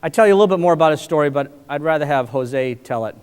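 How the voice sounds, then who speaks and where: A man's voice: on the high side, expressive, fast, darkish tone, clean tone. A middle-aged man introducing a student speaker from a conference stage, talking like a storyteller. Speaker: conference presenter. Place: large conference hall stage. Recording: long hall reverb with audience.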